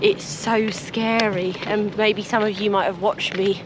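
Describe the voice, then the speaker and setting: A woman in her twenties, her voice husky from dust, talking earnestly and reflectively to her camera outdoors during a long bike trip. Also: medium pitch, little pitch movement, unhurried, background noise. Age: 20s. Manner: earnest, reflective, with soft laughs. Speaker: vlogger.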